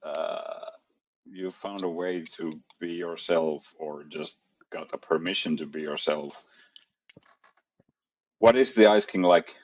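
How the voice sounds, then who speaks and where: Male podcast host, very deep voice, relaxed questions, dull lo-fi sound. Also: slow, long pauses, slight background noise. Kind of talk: casual interview.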